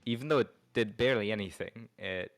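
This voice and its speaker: A man's voice: fairly low pitch, fairly quick, soft background music. A man giving casual esports analysis, explaining his points step by step. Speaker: guest analyst.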